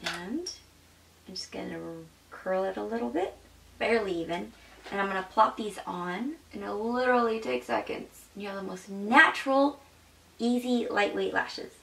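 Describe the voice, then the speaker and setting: A woman's voice: mid-range voice, moderate pace, vocal fry, sharp tone, reverberant, recorded from a distance, slight background noise, rough recording. A young woman gushing over beauty products in a casual vlog, demonstrating them as she talks. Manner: bubbly and gushing, demonstrating makeup while talking. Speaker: beauty vlogger. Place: home makeup room indoors.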